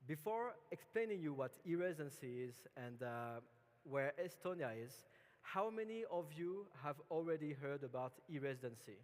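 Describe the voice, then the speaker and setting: A man from France with a French accent, giving a relaxed, chatty conference talk with many fillers and restarts. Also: on the high side, normal speed. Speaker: conference speaker. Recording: long hall reverb.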